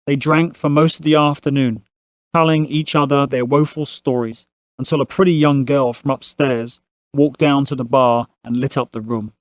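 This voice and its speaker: A man's voice: mid-range voice, steady tone, moderate pace, no room sound. A man narrating a family saga as a scripted audio drama storyteller.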